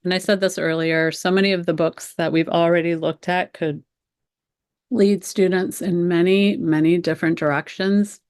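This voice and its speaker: A middle-aged American woman with a low-pitched voice leads a webinar for fellow teachers. She explains ideas conversationally, with informal asides to the viewers. The sound is dry, with very little room echo. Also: steady tone, normal speed, a little mellow.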